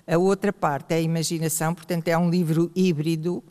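A woman with a European Portuguese accent, an author talking about her book at a panel, halting and full of fillers and restarts. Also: sits low, flat delivery, mellow. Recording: reverberant hall.

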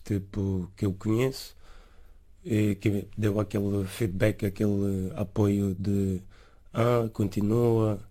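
A young man with a São Tomé Portuguese accent answers interview questions in a halting, easygoing way, letting his phrases fade out softly. His voice sits low and mellow, and it stays fairly flat in pitch. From São Tomé and Príncipe. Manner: halting, laid-back, trails off softly at phrase ends.

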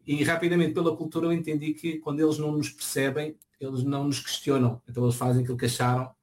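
A middle-aged man from Portugal with a European Portuguese accent, answering interview questions in a relaxed way, often hesitating and restarting his sentences. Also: fairly high pitch, fast talker. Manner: hesitant, many restarts and fillers.

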